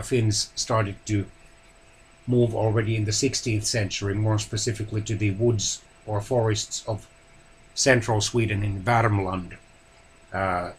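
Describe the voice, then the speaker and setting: Measured man explaining languages. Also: fairly low pitch, rough recording. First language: Finnish. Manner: measured, hesitant with pauses, often clears throat. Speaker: language channel host. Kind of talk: educational talk on languages.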